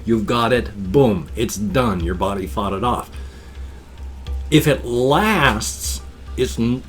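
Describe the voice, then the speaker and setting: A man in his fifties with a stuffed-up, wheezy voice, rambling casually to a webcam in a small room at home. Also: medium pitch. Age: 50s. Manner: animated, gestures constantly with both hands. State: congested and wheezy. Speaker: vlogger. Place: small home room full of toys and collectibles. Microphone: webcam framing at desk.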